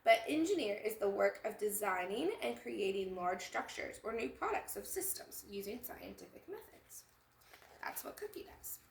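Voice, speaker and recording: A woman's voice: medium pitch, very animated, moderate pace, gravelly, a little hiss or hum. A woman hosting a children's storytime as a librarian, enthusiastic, reading a picture book and putting questions to young listeners. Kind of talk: animated picture-book read-aloud for children. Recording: echoey room sound.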